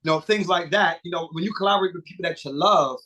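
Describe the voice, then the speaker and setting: A middle-aged man, a singer and producer, chatting casually as an interview guest, animated and swearing freely with many fillers and restarts. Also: a little high, fast, a little hiss or hum.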